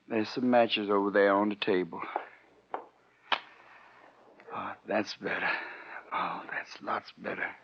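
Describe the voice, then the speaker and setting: An actor playing a weary, bitter poor man who is dying, speaking weakly and haltingly in folksy slang in a 1940s radio drama. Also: on the low side, slight background noise. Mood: weary, wry. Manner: weak, halting, folksy slang, wryly mocking. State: weak, failing breath. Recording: dull vintage radio recording.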